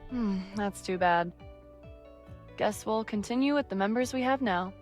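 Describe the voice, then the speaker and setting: A woman's voice: medium pitch, unhurried, soft background music, lightly acted. A woman playing a cool, condescending rival studio leader in a visual novel. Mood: disappointed, polite. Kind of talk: visual novel dialogue acting.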